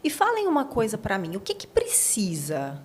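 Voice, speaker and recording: A woman's voice: medium pitch, wildly swooping pitch, normal speed, a little hiss or hum. A Brazilian woman talking conversationally as the host of an interview podcast.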